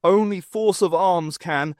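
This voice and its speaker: A man's voice: high voice, takes it slowly, a little mellow, dry recording, studio quality, pitched above the performer's own voice. A man voicing a pompous, impatient, warlike pantomime prince in an audiobook, in a declamatory manner. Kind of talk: audiobook character voice.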